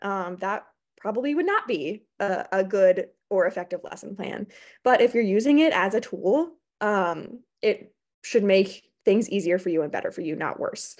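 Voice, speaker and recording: A young woman with an American accent presents like a librarian talking through slides. Her voice sits at a medium pitch. She explains things informally and hesitates with ums, speaking into a computer microphone on a video call. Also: expressive, normal speed, darkish tone. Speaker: academic librarian presenting at a conference. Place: small indoor room on a video call.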